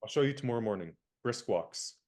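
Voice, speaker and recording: A young man acting an indignant valet in a radio play, crisp and lecturing. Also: mid-range voice, animated, fairly quick, darkish tone, close to an everyday voice. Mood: stern.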